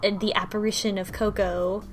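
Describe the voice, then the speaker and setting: A woman's voice: mid-range voice, flat delivery, brisk, soft background music. A woman co-hosting a casual book club discussion about a game, recounting a story with wry asides. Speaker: podcast co-host.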